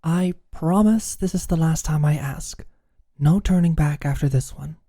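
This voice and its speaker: An actor as husky British workaholic manager, dark soft tone, dryly teasing, caring, intimate ASMR audio drama. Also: medium pitch, a little slow, no room sound. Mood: earnest, hesitant. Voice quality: soft, breathy, often near-whispered. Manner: dry, gently teasing, solicitous.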